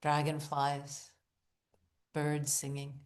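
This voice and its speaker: A woman leading a guided visualization, speaking in deliberate short phrases with long pauses, in a hushed, soothing, incantatory way. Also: low-pitched, monotone. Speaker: guided meditation leader. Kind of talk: guided visualization, meditative narration.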